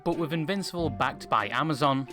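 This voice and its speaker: A man's voice: a little high, brisk. Male video reviewer over faint music. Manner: crude humour, opinionated. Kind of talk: scripted review narration with joking asides.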